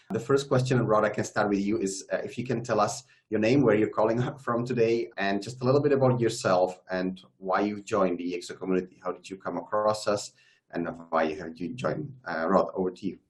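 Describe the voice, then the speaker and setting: A man hosting a video call panel, friendly and a little halting as he restarts sentences. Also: lowish voice, a little mellow. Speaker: host, community manager.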